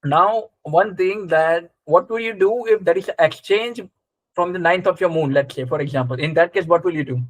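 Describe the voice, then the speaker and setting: A man with a fairly high voice teaches a live class in a conversational way. He explains step by step and often checks with short questions, recorded close and dry. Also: moderate pace, no room sound, poor audio. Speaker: astrology teacher. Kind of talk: live interactive class, explaining and quizzing students.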